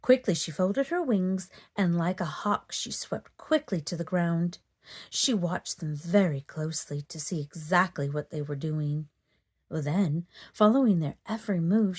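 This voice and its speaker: A woman storyteller narrating a children's book in an expressive, dramatic read-aloud manner. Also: fairly low pitch, slightly rough. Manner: dramatic, animated delivery for children.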